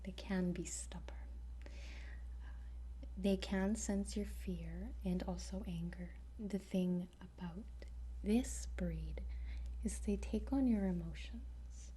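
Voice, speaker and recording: A woman whispering an ASMR roleplay as a dragon trainer, gentle and hesitant with frequent pauses. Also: mid-range voice. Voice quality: breathy whisper.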